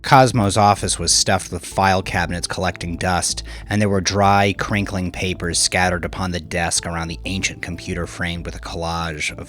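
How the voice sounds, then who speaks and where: A man's voice: deep voice, a bit bright. A man reading scripted crime fiction as the narrator. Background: music under the voice.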